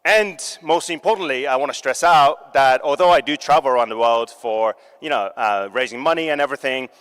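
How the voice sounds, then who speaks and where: A man from Japan giving a startup competition pitch, upbeat and joking with the audience. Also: fairly quick, fairly bright tone, clean tone. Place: large hall. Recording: long hall reverb.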